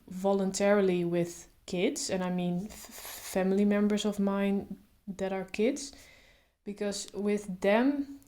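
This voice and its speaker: Woman who stutters, flat even voice. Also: mid-range voice. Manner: stutters openly with blocks and repeated sounds; calm, reflective, thinks aloud with fillers. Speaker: vlogger. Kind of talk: casual talk to camera.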